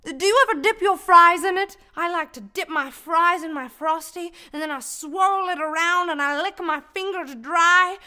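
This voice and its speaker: Woman acting a very high, bright melodrama damsel. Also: moderate pace, few pauses, exaggerated voice. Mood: dreamy, rambling. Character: dramatic, ditzy, always-hungry 1940s-style mystery heroine. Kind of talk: comedic old-time radio melodrama acting.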